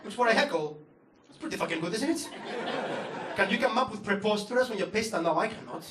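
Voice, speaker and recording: High-voiced Greek-accented comedian ranting on stage. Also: male voice, fast talker, distant mic. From Greece. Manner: animated, swearing ranting delivery. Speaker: stand-up comedian. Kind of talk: stand-up comedy set. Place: comedy club stage, audience laughing. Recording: roomy live venue with crowd noise.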